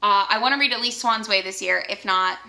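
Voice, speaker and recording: Chatty young woman with a gravelly, bright voice. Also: mid-range voice, brisk, a little hiss or hum. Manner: chatty, upbeat, laughs easily, rambling asides. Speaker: book vlogger. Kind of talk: casual chat about books.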